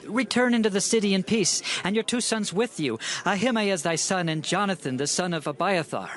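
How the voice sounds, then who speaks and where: A man's voice: sits high, brisk. A man playing a devout, sorrowful but resolute king, declaiming fervently in a dramatized scripture reading. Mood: resigned, resolute. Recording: loud music behind the voice. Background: music.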